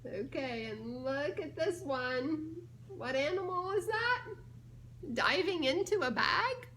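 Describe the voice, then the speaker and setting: A woman with a high, bright voice leads a children's story time at a very slow pace. She reads playfully and puts questions to young listeners in a giggly, coaxing way. There is clear noise in the background. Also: expressive. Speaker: storybook reader, children's ministry director.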